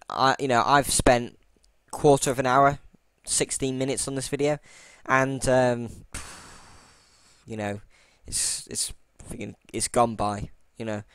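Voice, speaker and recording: A man narrates a software tutorial over his screen recording, rambling and circling back with frequent ums and half-started sentences. His voice is smooth and clear, sitting at a middling pitch. The recording is dry and close, with no room sound around it. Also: no room sound. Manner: rambling, lots of fillers and restarts; self-deprecating. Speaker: tutorial channel host.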